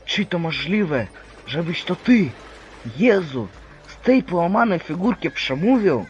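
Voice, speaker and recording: A man's voice: high voice, big pitch swings, measured pace. A man playing a humble, awed believer in a religious drama, earnest and theatrical, on a slightly dull recording. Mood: awed, troubled. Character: humble, awed believer eager to help Christ. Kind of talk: dramatic religious stage-play acting.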